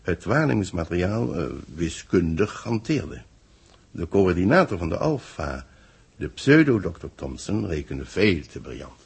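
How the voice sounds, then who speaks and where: An actor playing a grave space research official in a 1960s radio drama, explaining things in a measured, formal way. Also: male voice, low-pitched, big pitch swings, creaky, breathy edge, exaggerated voice. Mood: earnest, explaining.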